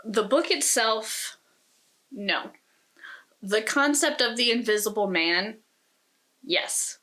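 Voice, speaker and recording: A woman's voice: on the high side, takes it slowly, pushed and bright. A woman co-hosting a podcast, casually talking through a book in a thoughtful, opinionated way. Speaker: podcast co-host.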